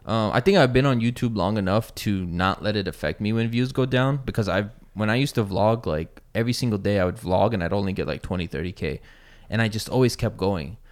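A man's voice: fairly low pitch, a little fast. A man in his thirties, a sneaker YouTuber, chatting casually and rambling with lots of fillers and swearing. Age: 30s. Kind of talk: casual chat.

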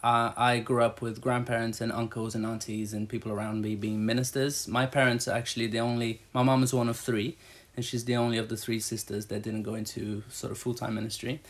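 A man in his thirties, from Brazil, talks through his church role in a loose, rambling way. He piles up fillers and restarts as he goes, at an everyday middle pitch. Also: flat delivery, brisk, few pauses. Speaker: church staff member. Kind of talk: casual interview answers.

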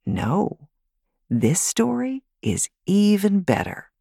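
A woman voicing a loving, patient grandmother in an audiobook, gentle and reassuring. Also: mid-range voice, huge pitch swings, takes it slowly, creaky, husky, no room sound, clean studio sound, voice pushed up, full character voice. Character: loving, patient older grandmother soothing children. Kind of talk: audiobook character voice.

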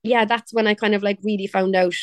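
A woman's voice: medium pitch, flat delivery, fairly quick, dry recording. A young woman from Cork with a Cork Irish accent, chatting casually as an interview guest, laughing and quoting others. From Ireland. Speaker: pop singer, guest.

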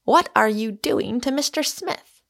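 A woman voicing a whimsical, flamboyant idle gentleman with a haughty, mock-heroic and theatrical manner in an audiobook. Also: fairly high pitch, lively intonation, measured pace, creaky, pitched above the performer's own voice, full character voice. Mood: bright. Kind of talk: audiobook character voice.

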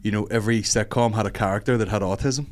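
A man's voice: on the low side, fairly even intonation, fast. A young man, co-hosting a podcast, chatting casually, swearing and slipping into mocking voices. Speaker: podcast co-host. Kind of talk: casual chat.

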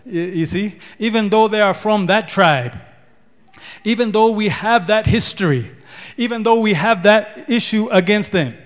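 A man from Ethiopia preaching a sermon, repeating words and building in rising declarations. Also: high voice. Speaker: pastor. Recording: long hall reverb.

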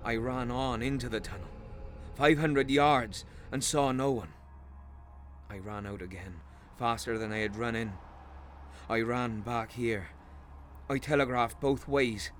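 An actor playing a troubled railway signalman in a radio ghost story, speaking gravely and haltingly with dramatic intensity. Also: medium pitch, soft background music, lightly acted. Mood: tense recounting. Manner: grave, halting, dramatic storytelling with hushed drops and anguished outbursts. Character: haunted, troubled railway signalman telling of a spectre. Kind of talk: radio play acting of a Victorian ghost story.

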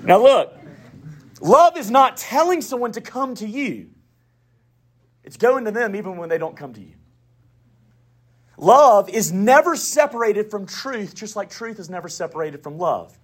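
A man preaching a sermon in a Southern US accent, with emphatic declarations, quiet asides and folksy humor. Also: high voice, animated, a little slow, pauses often. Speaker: pastor.

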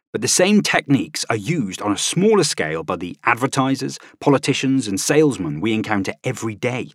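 A man's voice: fairly low pitch, no room sound, studio quality. A man reading scripted nonfiction as an audiobook narrator, with a dramatic, emphatic delivery.

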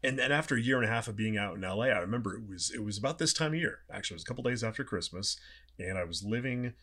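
Guy in his 40s, low husky voice, chatty and joking at himself. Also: expressive, racing, a bit bright. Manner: chatty, self-mocking storyteller. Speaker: voice actor guest. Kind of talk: casual storytelling chat.

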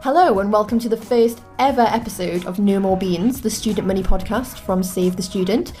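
A woman's voice: mid-range voice, fairly quick, warm tone, soft background music. A woman in her twenties with a Newcastle accent, co-hosting a podcast in an upbeat, chatty way. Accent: Newcastle (Geordie). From Newcastle, England. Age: 20s. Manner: chatty, upbeat, frequent fillers. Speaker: podcast co-host. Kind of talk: casual podcast chat.

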